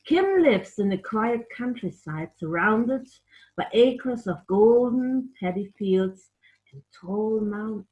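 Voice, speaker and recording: A woman tells a picture-book story to children at a slow, unhurried pace. Her delivery is expressive, and she speaks to young listeners directly, as a puppeteer storyteller would. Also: medium pitch, dull audio. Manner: expressive, addressing children with questions.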